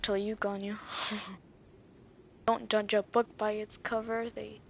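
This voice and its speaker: A woman's voice: medium pitch, flat delivery, takes it slowly, gravelly, slight background noise. A woman streamer giving casual gameplay commentary with playful, joking asides. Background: game music.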